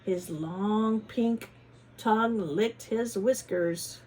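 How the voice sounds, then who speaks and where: A woman reading a picture book aloud to children in a teacherly way, pausing to ask them questions and adding dramatic emphasis. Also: mid-range voice, a little mellow, breathy edge. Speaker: children's story reader, former teacher. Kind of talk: animated picture-book read-aloud with chatty asides to children.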